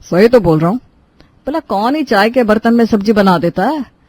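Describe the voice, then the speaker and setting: A woman's voice: mid-range voice, expressive, moderate pace, a little mellow, natural voice. A woman voicing an exasperated, sarcastic husband who grumbles, in a cartoon moral story. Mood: sarcastic grumbling. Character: exasperated, nagging husband fed up with stale food.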